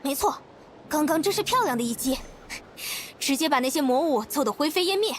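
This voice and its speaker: A woman's voice: high voice, expressive, brisk, a little hiss or hum. A woman voicing a small, confident, caring companion in an anime-style video game, chirpy and encouraging. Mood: impressed. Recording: music behind the voice.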